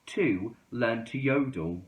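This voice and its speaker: A man reading a children's story aloud in an expressive storytelling style. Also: medium pitch, takes it slowly. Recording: roomy, echoing indoor sound.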